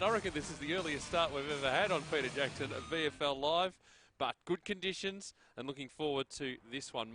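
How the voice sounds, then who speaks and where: Chatty Australian sports host. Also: male voice, a little high. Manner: chatty, jokey, reads out scores. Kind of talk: football broadcast commentary.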